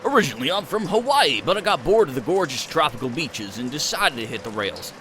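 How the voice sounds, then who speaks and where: A man's voice: on the high side, big pitch swings, fairly quick, slight background noise, voice pushed down. A man voicing a cheerful, folksy male hobo with an easygoing country drawl.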